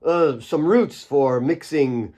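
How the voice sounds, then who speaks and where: A man's voice: on the high side, slow pace, warm tone. A gangly, nervous mine warden in an audiobook, acted by a male reader. He is a shifty man who stammers and hesitates, always evasive. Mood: nervous evasion. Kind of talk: audiobook character dialogue.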